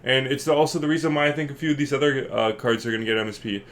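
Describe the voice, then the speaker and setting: A man with a stuffed-up, congested voice talks through his video game predictions in a loose, rambling way. He fills his speech with ums and uhs and thinks out loud. His pitch sits right in the middle for a man. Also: fairly even intonation, very fast. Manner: rambling, filler-heavy, thinking aloud. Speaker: gaming channel host. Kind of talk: casual video game commentary.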